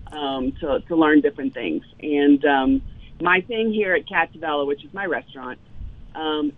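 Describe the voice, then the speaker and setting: A woman's voice: low voice, no room sound. A woman, a chef telling stories as a guest in a casual interview, with many ums. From Louisiana, US South. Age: 50s. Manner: animated storyteller, frequent ums. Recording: tinny phone line, dry.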